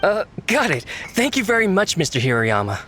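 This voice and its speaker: A man's voice: high voice, huge pitch swings, brisk, pushed and bright, slight background noise, full character voice. A man playing an earnest, deferential young assistant in a video game, eager and respectful. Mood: eager, relieved. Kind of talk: scripted game dialogue. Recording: faint music behind.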